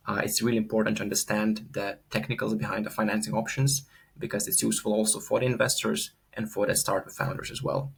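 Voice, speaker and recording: A man's voice: fairly low pitch, creaky, husky. A young man from Lithuania, giving an earnest, unscripted course testimonial full of fillers and repeated words.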